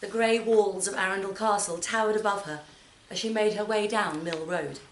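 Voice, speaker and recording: Female actor for literary storytelling, expressive with deliberate pauses, reverberant room and faint noise. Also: mid-range voice. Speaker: actor reading a short story. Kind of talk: dramatic literary reading to a live audience. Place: performance space in a library centre. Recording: roomy, distant mic, background noise.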